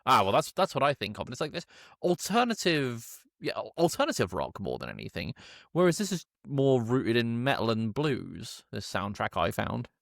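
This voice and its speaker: A man's voice: medium pitch, wildly swooping pitch, moderate pace, creaky, fairly bright tone, no room sound, clean studio sound. A man hosting a casual film review podcast, chatty and opinionated, given to tangents. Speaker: podcast host steering the discussion.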